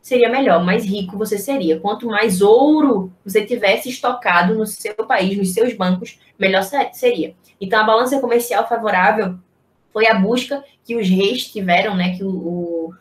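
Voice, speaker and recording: A woman's voice: mid-range voice, moderate pace. A young Brazilian woman giving an informal, explanatory online history lecture, posing rhetorical questions as she teaches over a video call. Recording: echoey room on video-call microphone.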